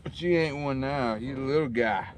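A drawling man talks casually while night fishing from a lakeside camp, worn out and ready for sleep. He banters with the fish, half mocking and half fond, in a folksy way. The outdoor recording is noisy, with lake ambience clear behind him. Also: mid-range voice, moderate pace. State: tired and sleepy. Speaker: fishing vlogger. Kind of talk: casual running talk to camera while fishing.

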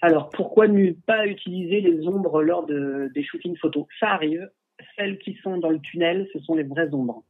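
A man speaking over a thin, phone-like line, his voice a little husky. He is a photographer answering viewer questions in a casual livestream chat. He talks through a headset and stumbles often, circling back with fillers and restarts. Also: sits high, moderate pace. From France. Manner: hesitant, many fillers and restarts. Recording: husky, tinny call audio.

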